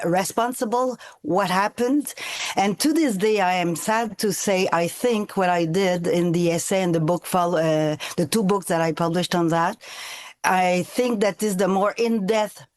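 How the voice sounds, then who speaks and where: Lower-pitched woman. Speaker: activist and author, interview guest. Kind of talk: spoken personal testimony.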